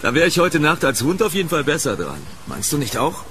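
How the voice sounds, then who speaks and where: A man's voice: on the high side, big pitch swings, brisk, fairly bright tone. An actor playing a grumbling but friendly policeman on night patrol in a horror radio drama, with a weary, familiar manner. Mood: grumbling, cold. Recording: noisy rain ambience behind voice.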